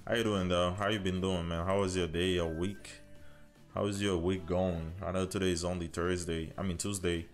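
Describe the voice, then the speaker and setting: Low-voiced Haitian man streaming a game. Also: little pitch movement, normal speed, soft background music. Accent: Haitian with Caribbean and American influences. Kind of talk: casual livestream chat with viewers over gameplay.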